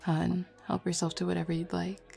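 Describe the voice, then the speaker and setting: A woman's voice: on the low side, monotone, normal speed, gravelly, mellow. A woman playing a flirty, self-assured werewolf pack beta in a roleplay audio drama, talking casually and teasingly to the listener. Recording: lo-fi music bed behind voice.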